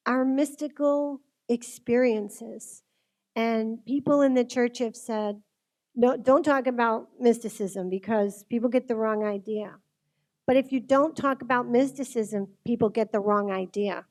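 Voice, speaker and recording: Woman, fairly high voice, informal faith speaker; earnest, conversational delivery that puts questions straight to the listener. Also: darkish tone. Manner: earnest, conversational, direct address with rhetorical questions. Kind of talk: informal religious talk to a group.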